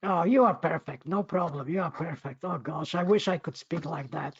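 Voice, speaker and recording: A man with a Polish accent speaks conversationally and effusively to an online audience, haltingly and often repeating words. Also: on the high side, slightly rough, no echo. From Poland. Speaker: guest speaker, magazine editor. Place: online video meeting. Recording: muffled lo-fi online call.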